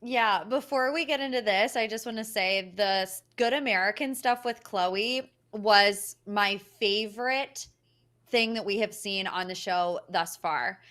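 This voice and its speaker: A woman's voice: mid-range voice, a little slow, fairly bright tone. A woman giving an opinionated entertainment recap as a panelist, talking in an emphatic, earnest, gushing way.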